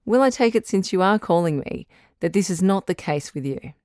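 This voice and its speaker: An actress playing a calm, reassuring doctor who hosts a radio advice show, measured and frank in an audio drama. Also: female voice, fairly low pitch, no room sound, studio quality, lightly acted. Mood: gentle, encouraging. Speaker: radio call-in host.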